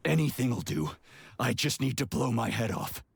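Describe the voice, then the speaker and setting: A man playing a hot-tempered, sardonic military major with a gruff, irritable manner in a video game cutscene. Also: medium pitch, expressive, normal speed, a little hiss or hum. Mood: bleak, weary. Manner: gruff, irritable, swearing, sarcastic.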